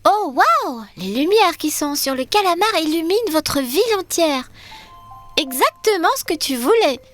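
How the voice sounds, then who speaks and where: A woman voicing a brave, kind young girl adventurer in a children's cartoon, expressive and encouraging. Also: very high-pitched, normal speed, faint music behind, heavily acted. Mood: delighted.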